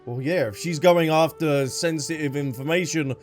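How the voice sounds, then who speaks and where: A man's voice: on the high side, normal speed. A man giving casual gameplay commentary as a streamer, playful and chatty. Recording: game music behind.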